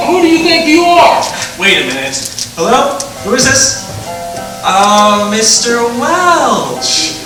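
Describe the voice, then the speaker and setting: A man's voice: very high-pitched, big pitch swings, takes it slowly, pauses often, full character voice. An actor playing a stressed, short-tempered family father in a 1940s-style radio play. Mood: angry. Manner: agitated, swinging between outbursts and softness. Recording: echoey stage, distant mic, music behind.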